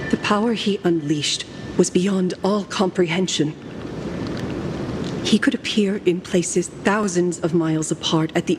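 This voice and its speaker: A woman's voice: fairly low pitch, noisy background, natural voice. An actress playing a solemn, formal woman who recounts ancient legends in a video game.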